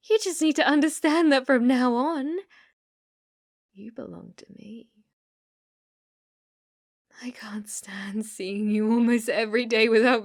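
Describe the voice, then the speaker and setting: A woman's voice: on the high side, no echo, clean studio sound. A British woman playing an obsessive, sweetly possessive young female stalker in an ASMR roleplay, coaxing and often dropping into whispers.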